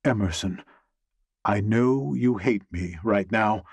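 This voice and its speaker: A man's voice: lowish voice, takes it slowly, husky, no room sound, exaggerated voice. A man voicing an imperious, wealthy old grandmother with a commanding, haughty, emphatic manner in an audiobook. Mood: insistent.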